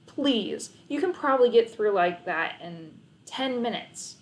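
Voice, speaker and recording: A woman's voice: medium pitch, expressive, measured pace. A cheeky young brother in a children's storybook, voiced by a woman reader. He talks in a wry, casual way with a sheepish edge. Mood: teasing.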